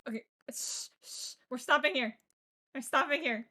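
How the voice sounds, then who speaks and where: Chatty woman streamer, lively and high. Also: normal speed, a little hiss or hum. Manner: rambling and chatty, with frequent "um"s and casual swearing. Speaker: game streamer. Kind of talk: casual live-stream chat while playing.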